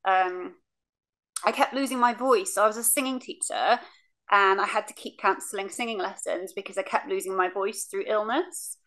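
A woman's voice: medium pitch, steady tone, normal speed, a bit bright. Woman on a webcam from her sofa, talking frankly, lots of ums. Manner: frank, many ums, acts out remembered lines. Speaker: cancer patient telling her story. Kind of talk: casual recounting, remote interview. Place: living room, seated on a sofa. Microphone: video call webcam.

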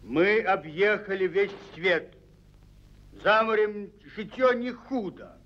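An actor playing a proud seafaring merchant, chanting verse ceremoniously in an old animated film. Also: male voice, high-pitched, slow pace, slight background noise, exaggerated voice. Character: well-travelled merchant sailor, boastful and respectful. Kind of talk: 1940s Soviet animated film, verse declamation. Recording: old 1940s film soundtrack.

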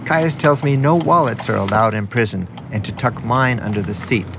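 A man's voice: medium pitch, normal speed, gravelly, background noise. Man narrating a documentary over music.

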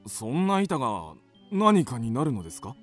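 A man's voice: medium pitch, huge pitch swings, normal speed, faint music behind. An actor playing an easygoing husband and father in a radio drama, with a light-hearted manner. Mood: hesitant, polite. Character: easygoing, joking family man, husband and father.

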